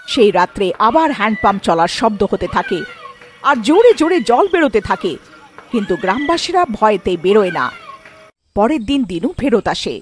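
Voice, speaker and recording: A woman's voice: mid-range voice, very animated, brisk, soft background music. A woman narrating a scripted cartoon fairy tale.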